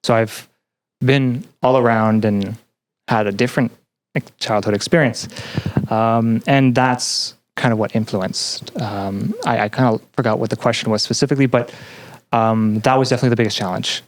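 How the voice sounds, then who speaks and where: Low-pitched young man, earnest and halting. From Canada. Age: young adult. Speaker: podcast guest; game developer and student. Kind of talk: reflective personal interview.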